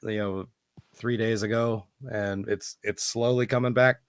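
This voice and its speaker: A man hosting a live online chat, hoarse from losing his voice and talking in a rambling, halting way. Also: fairly low pitch, steady tone, no echo. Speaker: host. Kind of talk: casual online hangout chat. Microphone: computer mic on a live video call.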